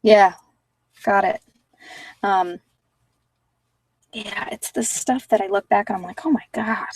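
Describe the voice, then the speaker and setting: A woman's voice: medium pitch, steady tone, takes it slowly, slightly rough, no room sound, recorded from a distance. A woman talking in a casual, conversational way as she hosts an informal tarot card reading. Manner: conversational, informal, anecdotal asides.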